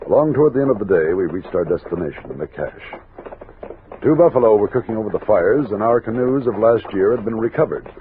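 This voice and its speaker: An actor playing a steady frontier army captain who leads an expedition and narrates it in measured, commanding old-time radio style. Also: male voice, fairly low pitch, natural voice. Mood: reflective narration. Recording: tinny noisy old radio recording.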